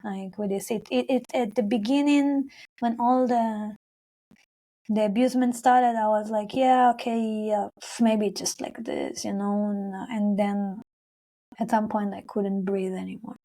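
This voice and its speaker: A woman's voice: mid-range voice, fairly even intonation, unhurried, warm tone. A young adult woman singer, a Hebrew speaker talking in English, telling her story in an interview with many fillers and restarts. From Israel-Palestine. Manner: rambling, many fillers and restarts, acts out her own past words.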